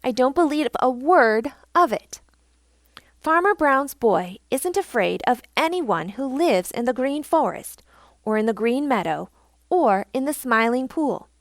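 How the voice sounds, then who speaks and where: A woman's voice: on the high side, sing-song, measured pace, no room sound, pitched above the performer's own voice. A woman voicing a sceptical, stubborn male crow in a storybook, snappish and insistent. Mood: disbelieving.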